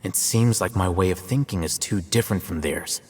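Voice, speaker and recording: An actor playing a calm, measured male academy scribe who explains things in a scholarly way in a video game. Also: deep voice, slight background noise, close to an everyday voice. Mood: reasoned. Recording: long reverb with game music.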